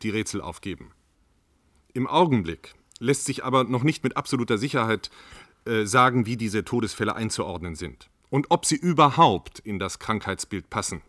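An actor in a radio play as an evasive government spokesman, speaking in a measured, bureaucratic, placating way. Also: on the low side, fairly bright tone, dry recording, clean studio sound. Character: evasive, appeasing male government spokesman playing down a crisis. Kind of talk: radio play acting as a panel discussion guest.

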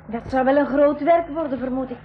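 A very high-pitched young girl acted by a woman in a radio adventure play. She is spirited, anxious and exclamatory. The recording is a slightly dull 1980s broadcast. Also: a little fast, slight background noise, close to an everyday voice. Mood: curious, concerned. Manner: excitable, exclamatory. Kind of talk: 1980s school radio drama acting in Dutch.

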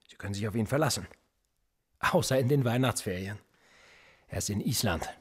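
A sardonic, husky police interrogator. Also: male voice, on the low side, fairly quick. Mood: menacing. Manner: calm, mocking, turning menacing. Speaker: police officer. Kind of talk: radio play acting.

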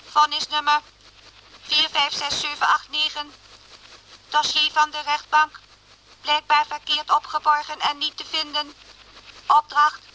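Very high, monotone computer. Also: female voice, measured pace, long pauses, a little hiss or hum, low-quality recording. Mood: mechanical, emotionless. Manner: staccato, mechanical, monotone. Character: emotionless female computer voice reciting punch-card records. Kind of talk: radio drama, mechanical data read-out.

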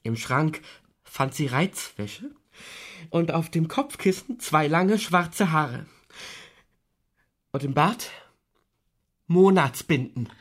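An actor playing an earnest, troubled man under suspicion in a radio drama, with a husky voice and insistent delivery. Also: on the high side, very animated, takes it slowly, long pauses, exaggerated voice. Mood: worried, earnest.

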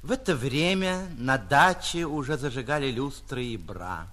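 A man, an actor reading a literary short story in an expressive, theatrical narrating style. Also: mid-range voice, moderate pace. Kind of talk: dramatic literary narration with acted dialogue.

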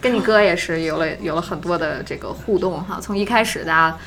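A woman's voice: on the low side, fairly quick, a bit bright. A woman hosting a casual chat, talking in a chatty way and often echoing and agreeing with others. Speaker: host of a radio drama cast chat. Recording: background music. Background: music.

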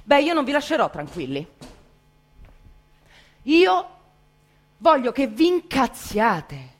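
A woman reciting a dramatic theatrical monologue in an impassioned, emphatic way, with deliberate pauses. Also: high-pitched, sing-song.

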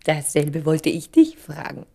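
A woman's voice: low-pitched, sing-song, measured pace, creaky, mellow, slightly rough, full character voice. A woman acting in a German radio play as a poised, guarded and subtly teasing lady, politely questioning.

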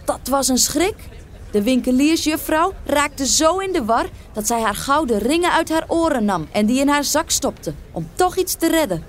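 A woman reading a fairy tale as an expressive storyteller, with dramatic, deliberate phrasing. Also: high-pitched.

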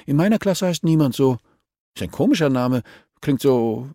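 Man voicing a shy young girl, pitched up high. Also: expressive, moderate pace, warm tone, dry recording, studio quality, lightly acted. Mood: timid, curious. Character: shy ten-year-old girl, candid and a little sulky. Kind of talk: audiobook character dialogue.

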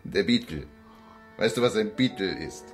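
A man's voice: lowish voice, animated, normal speed, music in the background, natural voice. An actor playing a Soviet militia officer in a noir radio drama, dry and teasing in his delivery. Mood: dry, needling.

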